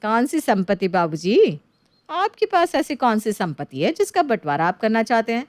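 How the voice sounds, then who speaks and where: A woman's voice: fairly high pitch, very animated, moderate pace, no room sound. Lady doing snooty greedy bahu in a moral story, mocking and talking down, dry sound. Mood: mocking, smug. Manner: condescending, sneering. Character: haughty, greedy, mocking elder daughter-in-law.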